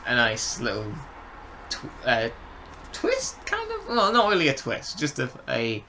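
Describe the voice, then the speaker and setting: Man, bright forceful voice, halting phrasing, casual podcast review chat, faint room noise. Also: mid-range voice, wildly swooping pitch.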